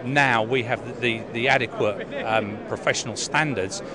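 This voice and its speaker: A middle-aged man, an insurance broker, answering a vox pop hesitantly with many pauses at a busy exhibition hall. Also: medium pitch. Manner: hesitant, many ums and restarts. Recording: crowd chatter behind.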